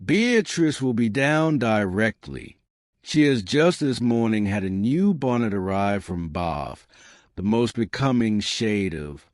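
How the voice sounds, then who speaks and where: A man's voice: lowish voice, very animated, slow, a little mellow, no room sound, studio quality. A man voicing a fussy, eager matchmaking mother in an audiobook. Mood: excited. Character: excitable, fussy matchmaking mother of grown daughters. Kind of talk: audiobook character dialogue.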